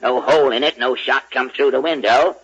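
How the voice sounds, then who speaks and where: An actor playing a blunt, slangy, bungling police inspector in a 1940s radio comedy. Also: male voice, mid-range voice, a little fast, a bit bright, natural voice. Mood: suspicious. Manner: blunt, streetwise, ungrammatical tough-guy patter. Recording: dull, hissy 1940s radio broadcast.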